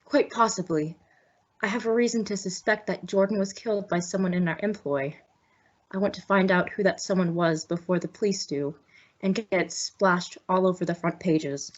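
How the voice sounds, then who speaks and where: A woman's voice: lowish voice, normal speed, close to an everyday voice. A young woman playing a worried, image-conscious store boss, formal and guarded, in an old-time radio drama. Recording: dull lo-fi audio.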